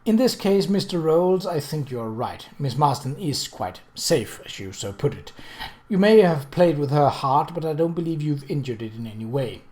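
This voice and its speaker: A reader voicing a composed, perceptive upper-class lady, measured, formal and gently admonishing. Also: medium pitch, lively intonation, lightly acted. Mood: grave, firm.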